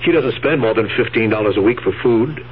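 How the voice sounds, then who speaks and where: Actor as scheming husband, tinny old radio. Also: male voice, mid-range voice, fairly quick, breathy edge, lightly acted. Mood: calculating, greedy. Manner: insistent, calculating. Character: firm, greedy, scheming husband. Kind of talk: 1970s radio drama acting. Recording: tinny radio broadcast, faint hum.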